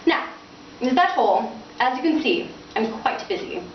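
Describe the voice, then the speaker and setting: A woman's voice: high-pitched, very animated, unhurried, far from the mic, pitched above the performer's own voice. A woman voicing a melodramatic, self-absorbed older father, a pompous playwright, in a grandiose and fussy way at a live book reading. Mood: cooing, dismissive. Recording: muffled, echoey, noisy room from afar.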